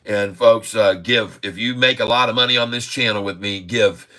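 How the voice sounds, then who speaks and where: A man's voice: fairly low pitch, moderate pace, sharp tone. A man in his sixties hosting a casual live stock chat, jokey and upbeat, chuckling often between remarks. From Haiti. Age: 60s. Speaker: stock livestream host.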